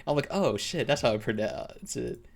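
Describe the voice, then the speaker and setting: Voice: medium pitch, animated, normal speed, gravelly. A game streamer chatting casually and giving joking, self-deprecating live commentary. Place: dim room at home. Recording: faint game music behind.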